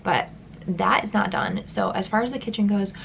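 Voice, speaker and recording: A woman's voice: medium pitch, normal speed, gravelly, slight background noise. A young woman vlogging at home as she gets ready, talking in a loose, rambling way with lots of "like" and "um", her voice stuffy and congested. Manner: casual and rambling, many likes and ums. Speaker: beauty vlogger. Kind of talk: chatty get-ready-with-me vlog.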